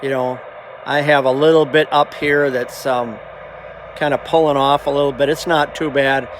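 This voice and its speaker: A man giving a casual, halting, rambling hands-on demonstration as a hobbyist presenter. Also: medium pitch, fairly even intonation. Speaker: hobbyist presenter showing his CB radio. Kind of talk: casual hands-on show-and-tell. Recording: noisy amateur camera audio. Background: clear background noise.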